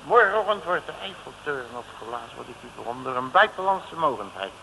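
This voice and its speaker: An actor playing a cocky, slangy working-class detective in a 1950s Dutch radio comedy serial. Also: male voice, mid-range voice, sing-song, fast talker, vocal fry. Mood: chatty. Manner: slangy, blunt, self-assured. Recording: noisy old radio recording.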